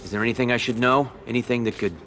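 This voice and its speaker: An actor playing a confident, controlling mafia don in a video game cutscene. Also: male voice, mid-range voice, fairly even intonation, rapid-fire, fairly bright tone, soft background music, natural voice. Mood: coaxing control.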